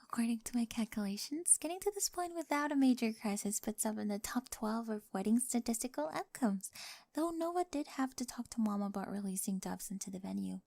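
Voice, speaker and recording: Actress as high-voiced, quirky, nerdy young bride. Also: female voice, animated, brisk, creaky, warm tone. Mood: hushed, matter-of-fact. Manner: earnest, nerdy, precise scientific phrasing. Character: quirky, data-obsessed young bride, eager, loving, analytical. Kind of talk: scripted ASMR roleplay acting.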